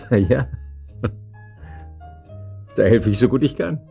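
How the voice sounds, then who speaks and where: Smooth, expressive seducer over music. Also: male voice, medium pitch, slow. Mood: cynical, boastful. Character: smooth-talking male seducer, shyly charming, privately cynical and cocky. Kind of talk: German radio drama acting.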